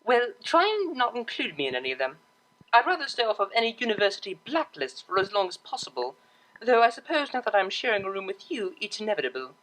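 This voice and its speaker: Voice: medium pitch, very animated, fast, fairly bright tone, pitched above the performer's own voice, poor audio. A performer voicing a refined young mare who plays cello, prim and easily flustered, in an audio story. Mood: prim, amused. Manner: prim, formal phrasing.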